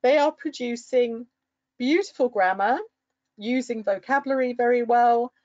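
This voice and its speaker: A middle-aged woman giving an encouraging talk to fellow English teachers, with lively bursts when quoting pupils. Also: on the high side, moderate pace, no echo, dull audio.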